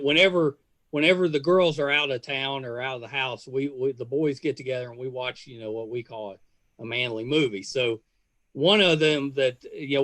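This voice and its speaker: A man's voice: medium pitch, a bit bright, no room sound. A middle-aged man hosting a casual interview, speaking in a folksy, chatty way. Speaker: podcast host.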